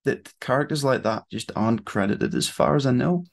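A man's voice: mid-range voice, fairly quick, vocal fry. A young British man hosting a podcast with casual interview chat. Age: young adult. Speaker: podcast host. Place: small room at home.

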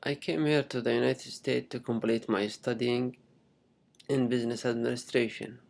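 A young man from Saudi Arabia with a Saudi Arabic accent, a native Arabic speaker, giving a halting, unscripted step-by-step screen tutorial in simple phrases. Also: medium pitch, fairly even intonation, vocal fry, a little mellow. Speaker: international student giving a tutorial. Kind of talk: unscripted screen-recording walkthrough.

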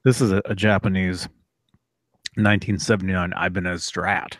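A man in his thirties, a guest talking in relaxed, halting bursts on a studio mic with headphones over a video call. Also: sits low, dry recording. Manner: relaxed, halting, repeats words. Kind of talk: casual chat.

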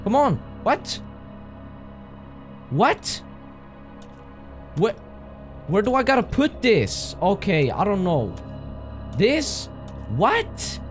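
Jumpy young man yelling through a horror game. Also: sits high, very animated, drawn-out words, long pauses, soft background music. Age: young adult. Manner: jumpy, yelling and gasping at scares. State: hoarse, voice strained from screaming. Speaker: gaming streamer. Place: small room indoors. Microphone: headset.

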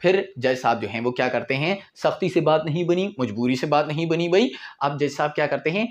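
Male lecturer, twenties, Pakistani accent, fairly high voice, informal explanatory delivery with English terms mixed in. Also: lively intonation, fairly quick. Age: 20s. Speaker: law lecturer. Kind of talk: informal lecture with acted-out examples. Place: small indoor room in front of a whiteboard.

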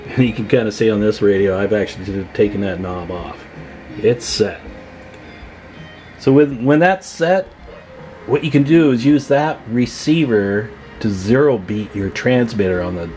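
Guy with a lowish voice walking thru a how-to at his workbench, informal, step by step. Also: moderate pace, darkish tone, soft background music. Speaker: radio repair hobbyist, video host. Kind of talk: casual hands-on tutorial talk. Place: indoor radio workbench.

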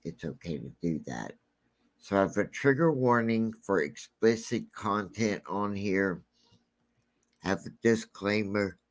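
A man with a deep, scratchy voice hosting a true crime channel, talking casually and rambling with many fillers. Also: lively intonation, unhurried.

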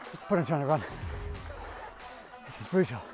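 A man's voice: fairly high pitch, lively intonation, brisk, crowd noise. A British man in his forties, out of breath and exclaiming as he struggles up a steep ski slope, filmed on a handheld action camera outdoors. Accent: British English. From Britain. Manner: breathless, exclaiming while climbing. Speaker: presenter and runner. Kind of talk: casual running vlog. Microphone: action camera held at arm's length. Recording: music playing underneath.

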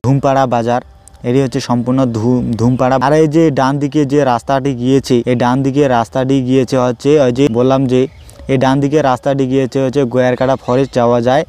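Brisk, even-toned man. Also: medium pitch, clean tone, soft background music. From West Bengal, India. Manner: repetitive, loosely improvised phrasing. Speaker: travel vlogger. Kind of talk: travel vlog narration pointing out roads and places.

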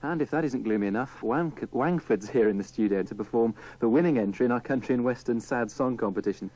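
A man's voice: mid-range voice, fast, darkish tone. A man presenting on radio, reading a scripted link, on a lo-fi tape recording.

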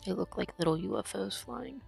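A woman's voice: mid-range voice, big pitch swings, a little fast, faint music behind. A woman who is sick and losing her voice, explaining her moves as she commentates her own gameplay. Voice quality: hoarse. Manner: explanatory, talks through her decisions.

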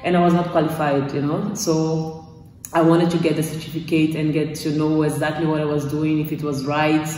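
A woman's voice: deep voice, little pitch movement, moderate pace, mellow, recorded from a distance, a little hiss or hum. Brazilian-accented woman, echoey gym room. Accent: Brazilian Portuguese. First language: Portuguese. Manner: talks with her hands, searching for English words, many restarts. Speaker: course graduate giving a testimonial. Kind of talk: casual testimonial interview. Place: small gym room, indoors, in front of weight racks. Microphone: far camera.

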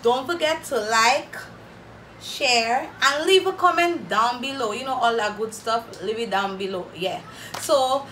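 Slow-talking Saint Lucian woman vlogger. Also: high voice, sharp tone, a little hiss or hum, low-quality recording. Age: 20s. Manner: chatty, playful, reading questions from paper. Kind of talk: casual Q&A to camera. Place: small room indoors, plain wall. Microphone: camera in front, head-and-shoulders framing.

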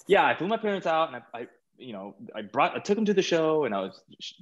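A middle-aged American man chatting casually as an interview guest over a video call, informal and halting, often repeating words. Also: on the high side, big pitch swings, lo-fi sound. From California, United States. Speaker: guest, stage performer. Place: small plain room indoors, video call.

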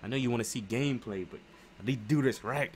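A young American man giving casual, slangy let's play commentary, rambling and thinking out loud. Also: medium pitch, fast. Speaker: gaming streamer. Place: room at home. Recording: clearly noisy home webcam stream. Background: car game sounds.

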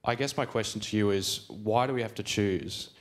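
A man's voice: fairly low pitch, normal speed. A young university student in his twenties, asking a question politely and a bit tentatively.

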